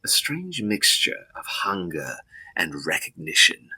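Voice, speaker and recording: Male narrator for gothic drama: husky, very forceful and sharp, deliberate, solemn delivery. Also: deep voice, very animated, low-quality recording.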